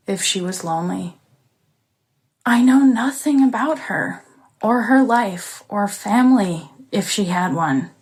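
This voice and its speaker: A woman's voice: fairly low pitch, gravelly. An actress playing a lonely, tender young woman in an intimate, reflective, conversational audio drama monologue. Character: lonely, tender young woman sheltering alone, newly caring for a bird. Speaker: actor performing a monologue.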